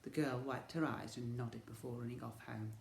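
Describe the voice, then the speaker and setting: A man reading a children's story as a teacher would, with dramatic, expressive narration. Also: medium pitch, normal speed, gravelly. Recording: echoey room.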